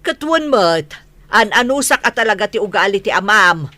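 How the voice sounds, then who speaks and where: A woman's voice: mid-range voice, lively intonation, moderate pace, bright, forceful tone, no echo. A woman playing a hard-working, exasperated wife and mother in an Ilocano radio drama, plain-spoken and insistent.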